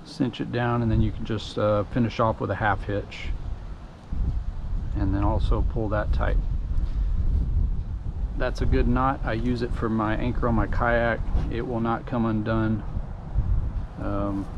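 A middle-aged man with a Texan accent, giving a relaxed, folksy step-by-step how-to while working at a pickup truck tailgate outdoors, with frequent ums and uhs. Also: fairly low pitch, measured pace, long pauses, highs cut off. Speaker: DIY tutorial host. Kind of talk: casual step-by-step how-to narration. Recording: noisy outdoor camera audio.

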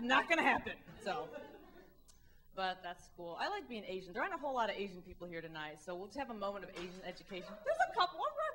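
A woman in her thirties, from the Midwest, doing a wry, animated stand-up set on a club stage with a handheld mic and acting out the voices of people she quotes. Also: sits high. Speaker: stand-up comedian. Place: comedy club stage, indoors.

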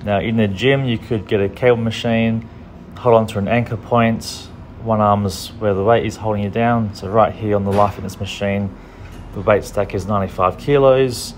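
A man with a lowish voice talks through strongman training in an easygoing, practical way. He sounds young and plain-spoken, like a coach showing gym members what to do. A faint hum sits under his voice. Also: steady tone, normal speed. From Australia. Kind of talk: casual training tutorial voice-over.

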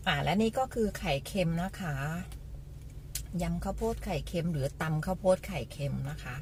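A woman's voice: fairly low pitch, moderate pace, darkish tone, a little hiss or hum. A woman casually hosting a food vlog, talking politely and repeating her phrases as she explains. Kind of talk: casual mukbang food presentation with eating.

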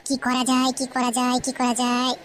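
A woman's voice: high-pitched, monotone, moderate pace, slight background noise, natural voice. A woman voicing a whiny, theatrical and cunning young wife in a comedy cartoon. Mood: sly plotting. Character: scheming young wife, tearful and wheedling, secretly cunning. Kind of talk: comic cartoon dialogue.

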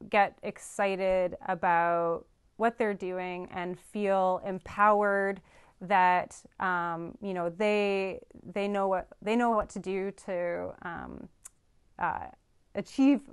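Smooth-voiced woman vet, earnest. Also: medium pitch. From Canada. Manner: earnest, thoughtful, many ums and restarts. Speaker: farm veterinarian. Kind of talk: interview answers. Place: studio with dark backdrop.

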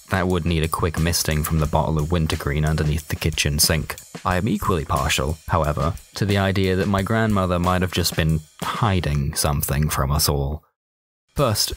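A man narrating a first-person comic short story in a dry, colloquial, sardonic way. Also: deep voice. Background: music.

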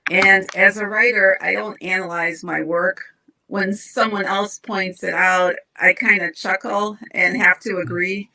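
Middle-aged female poet, calm and measured, bright clear tone, home video call. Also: medium pitch, poor audio. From United States, Ukrainian-American community. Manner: calm, measured, reads verse slowly. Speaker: poet, guest. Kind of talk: interview answers and poetry reading. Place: home room with bookshelves.

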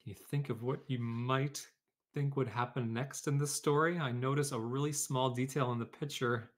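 Medium-pitched man teaching children. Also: steady tone, moderate pace. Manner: conversational, addressing pupils and teachers. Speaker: educator hosting a read-aloud. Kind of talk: children's story read-aloud and informal classroom instruction.

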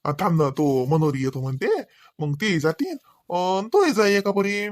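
A man's voice: high voice, expressive, moderate pace, dry recording, clean studio sound. A man playing a hospitable, persuasive village householder in a rural comedy cartoon, casual and coaxing, with a Varhadi Marathi accent. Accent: Varhadi Marathi, Vidarbha.

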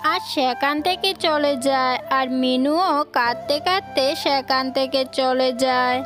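A high-pitched narrator reads a scripted story for a children's cartoon. Children's music plays clearly behind the voice. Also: measured pace.

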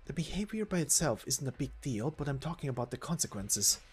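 A man's voice: mid-range voice, big pitch swings, brisk, a little mellow, slightly rough, faint music behind, voice pushed up. A man voicing an anxious, brooding young female mercenary, grave and insistent, in an amateur game story reading.

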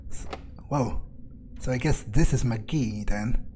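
A man with a mellow, dark voice thinks aloud as his game character. He rambles hesitantly and swears casually, with faint game ambience behind him. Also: medium pitch, slow, a little hiss or hum. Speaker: let's player.

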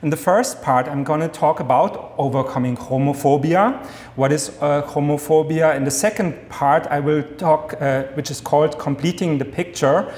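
A man in his thirties with a German accent gives an enthusiastic academic lecture from a podium, talking fast with many fillers and restarts. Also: fairly high pitch, darkish tone. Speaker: guest lecturer. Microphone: podium mic. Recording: echoey lecture hall, long reverb.

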